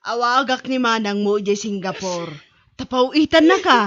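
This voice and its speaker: A woman's voice: on the high side, expressive, moderate pace, a bit bright. A woman playing a sympathetic, concerned older mother and grandmother in an amateur audio drama. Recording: dull lo-fi audio over music. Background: music.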